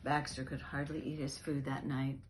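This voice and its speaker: A woman's voice: a bass voice, flat delivery, moderate pace, slight background noise. A woman reading a children's picture book aloud, expressively, stressing key words and adding asides as storyteller. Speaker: storyteller, reading organisation's founder.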